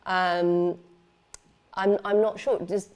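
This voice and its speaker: A woman's voice: mid-range voice, little pitch movement, slow pace, darkish tone, clean tone. A woman giving a lecture, talking loosely with many fillers and restarts. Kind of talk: memorial lecture with questions.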